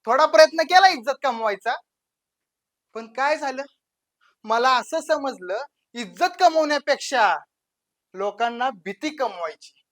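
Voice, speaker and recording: A woman playing a brazen, menacing village gangster in a dramatic monologue, with a rural western Maharashtra accent and a taunting, swaggering manner that swings between hushed and loud. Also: high-pitched, lively intonation, measured pace, long pauses. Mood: menacing, boastful. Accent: rural western Maharashtra Marathi.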